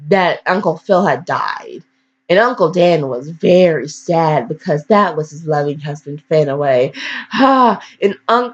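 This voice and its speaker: A woman reads aloud and comments in a casual, slangy way, drifting into blunt, mock-scolding asides. Her voice sits at an ordinary pitch. The recording is dull and lo-fi, like a homemade upload. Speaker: host reading fan fiction aloud. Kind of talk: casual reading with rambling commentary.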